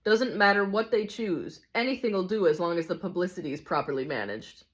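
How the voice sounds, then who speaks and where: A man's voice: high voice, lively intonation, a little fast. A woman reading the part of a cynical, hard-bitten male ghost in a storybook, grumbling scornfully and insistently. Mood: jaded, dismissive. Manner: scornful, insistent complaining with rhetorical questions.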